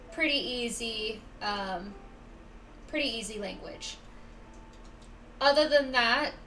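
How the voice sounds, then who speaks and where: Unhurried woman streamer, fairly high voice. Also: fairly bright tone. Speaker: live game streamer. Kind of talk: casual livestream chat. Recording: distant mic, faint background noise.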